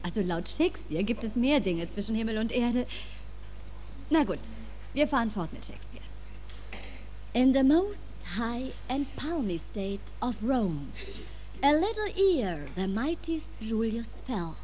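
A woman playing a proper high school English teacher in a radio play, instructing in a declaiming manner. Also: very animated, a little slow, a little mellow, a little hiss or hum. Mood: didactic.

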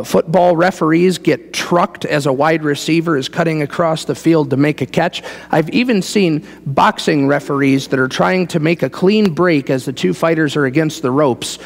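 A man's voice: on the high side, creaky. A middle-aged American man preaching a sermon in a wry, folksy, conversational way, in a large reverberant church. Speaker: pastor preaching. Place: large church sanctuary, indoors, standing before a congregation.

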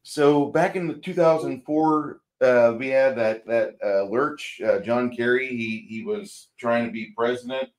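A man's voice: medium pitch, unhurried, hardly any pauses, poor audio. A man co-hosting a casual movie review over a video call, telling long rambling stories with wry humor. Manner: rambling storyteller, wry, hesitant with fillers. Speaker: co-host.

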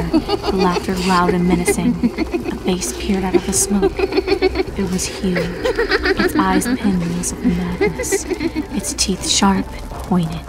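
A woman's voice: fairly low pitch, poor audio. A woman reading a horror story aloud as a dramatic scripted narration. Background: music.

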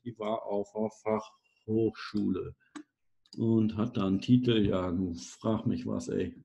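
A man's voice: on the low side, fairly even intonation, a little slow, gravelly, mellow, dull audio. A middle-aged man presenting a casual screen-share tutorial on a video call, chatty and self-deprecating, thinking aloud with fillers. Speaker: online course presenter.

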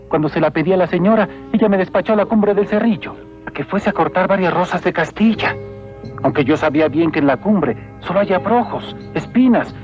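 A man voicing a humble, earnest, devout peasant in an animated film, speaking respectfully. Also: high-pitched, natural voice. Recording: dull old cartoon soundtrack with music.

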